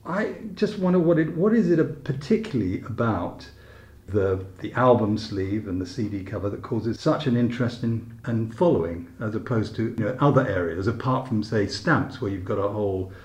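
Low-pitched male interviewer, echoey room. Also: animated, normal speed, hardly any pauses, a little mellow. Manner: tentative, roundabout phrasing. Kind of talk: conversational interview questioning.

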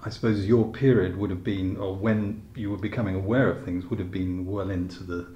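Male interviewer, low voice, tentative and conversational, recorded in a reverberant room. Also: steady tone, a little fast, dark tone, highs cut off, slight background noise. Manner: tentative, roundabout phrasing. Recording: echoey room.

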